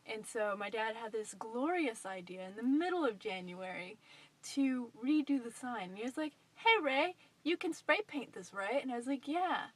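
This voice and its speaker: A woman's voice: on the high side, expressive, normal speed, a bit bright, husky, poor audio. A woman in her twenties with an American accent, telling a personal story casually to camera in a chatty, playfully self-deprecating way. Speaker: vlogger. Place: inside a parked car at night. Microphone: phone at arm's length.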